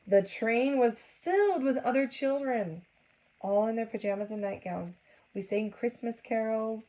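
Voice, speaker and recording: A woman reading a storybook aloud for children, gently engaging them with asides and questions. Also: on the high side, measured pace, low-quality recording.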